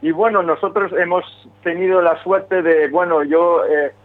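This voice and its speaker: A man from Quebec, Canada, who speaks French as his first language, talking haltingly with many restarts in a phone interview about his band. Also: high voice, steady tone. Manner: hesitant, many restarts and fillers. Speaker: singer of a metal band, interviewee. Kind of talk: phone interview, casual talk. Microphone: telephone. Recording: phone line.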